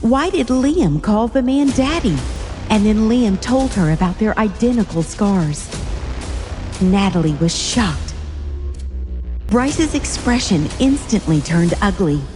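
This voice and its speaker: Mellow woman narrator with reverb. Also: medium pitch, animated, slow, faint music behind. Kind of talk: scripted dramatic story narration.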